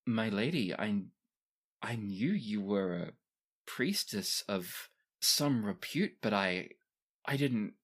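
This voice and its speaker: A man's voice: on the low side, animated, takes it slowly, fairly bright tone, heavily acted. A man playing an old, deferential head doctor who speaks formally in a tabletop fantasy game. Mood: astonished. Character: old, polite, astonished male head doctor.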